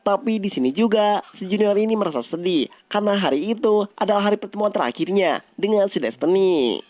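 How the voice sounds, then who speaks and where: A man's voice: high voice, animated, fairly quick, a little mellow. A man with an Indonesian accent narrates a film recap in a casual style.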